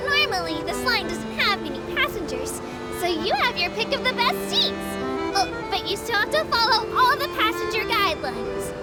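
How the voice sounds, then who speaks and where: A woman's voice: very high voice, full character voice. A woman voicing a small childlike creature in a video game, a cheerful, earnest tour guide who makes polite, chirpy scripted announcements. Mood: bright, helpful. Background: game music.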